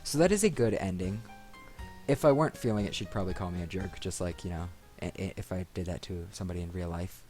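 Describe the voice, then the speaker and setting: Low-voiced man doing casual game commentary. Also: fast, dark tone. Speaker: let's play host. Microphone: desk microphone. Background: game music.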